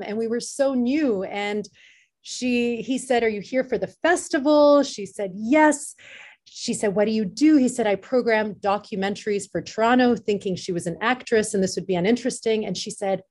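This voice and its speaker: A woman's voice: medium pitch, darkish tone. A woman telling a personal story in a casual, conversational way as an interview guest on a video call. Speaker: interview guest, festival co-founder.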